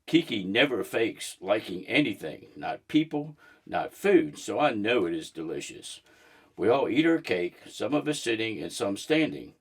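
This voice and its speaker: A man reading a children's book aloud in an expressive storybook narration style. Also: on the low side, a little slow, husky.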